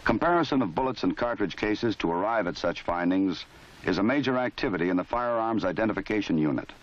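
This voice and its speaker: An American man reading formal scripted narration for a training film in a measured, authoritative, matter-of-fact way. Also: on the low side, animated, slight background noise. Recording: dull lo-fi 1970s film soundtrack.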